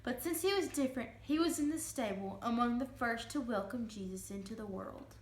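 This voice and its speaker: A woman with a high voice narrates a children's story as a dramatic reading. Her delivery is expressive, and the room adds noticeable echo around her words. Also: a little fast. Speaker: reader giving a dramatic reading. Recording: roomy, echoing room sound.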